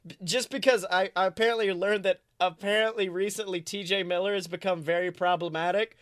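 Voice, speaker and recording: Male podcast co-host, high voice, dry recording, rambling playful improvised storytelling. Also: fairly even intonation, brisk, fairly bright tone, no room sound. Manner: rambling, self-correcting, playfully dramatic. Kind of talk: improvised comic storytelling, casual chat.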